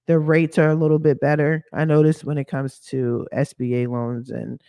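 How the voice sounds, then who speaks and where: A hoarse woman whose voice has dropped deeper from a lingering cough talks through a casual screen-share walkthrough. She runs a credit repair business and speaks candidly in slang, with sassy asides. Her voice is recorded dry and close on a computer mic. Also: little pitch movement, normal speed, warm tone, no room sound.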